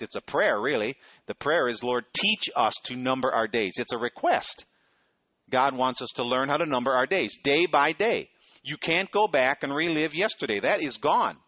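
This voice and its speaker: A man's voice: medium pitch, sharp tone, no echo. A middle-aged man preaching a sermon in a conversational way, often checking in with his listeners. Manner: conversational preaching, frequent "okay?" check-ins. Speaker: pastor.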